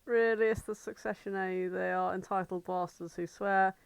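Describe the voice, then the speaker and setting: A woman's voice: mid-range voice, steady tone, moderate pace, gravelly, clean tone, dry recording. A woman co-hosting a casual comedic podcast, chatting in an irreverent, sweary, bantering way. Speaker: podcast co-host.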